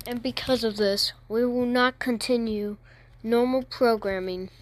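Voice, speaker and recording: A woman making formal, halting and repetitive emergency announcements in an amateur alert broadcast. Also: a little high, steady tone, background noise.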